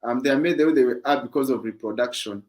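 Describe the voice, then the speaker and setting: A man with a deep voice hosting a fitness livestream, explaining points in plain casual chat. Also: fast talker, a little mellow. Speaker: livestream host.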